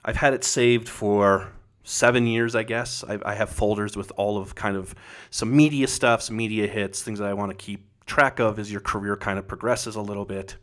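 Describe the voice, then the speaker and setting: A man's voice: lowish voice, steady tone, normal speed, fairly bright tone. A man talking casually as a podcast guest. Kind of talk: casual chat.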